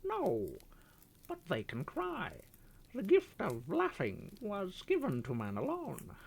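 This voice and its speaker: A woman's voice: lowish voice, huge pitch swings, slow pace, vocal fry, breathy edge, pitched above the performer's own voice, exaggerated voice. A woman voicing a boastful, chattering male pet parrot with a smug, mocking manner and a squawked refrain. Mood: lecturing.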